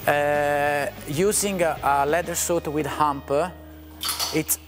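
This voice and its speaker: A man's voice: fairly high pitch, fairly even intonation, slow, music in the background. A man with an Italian accent explaining safety tests in an indoor test lab, hesitant with many fillers. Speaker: company representative. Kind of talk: explaining on a factory tour.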